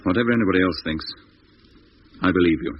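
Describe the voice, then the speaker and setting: An actor in a 1950s British radio drama playing a firm space crewman who keeps the peace with brisk, commanding speech. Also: sits low, natural voice. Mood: firm, exasperated. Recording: muffled, noisy 1950s radio recording.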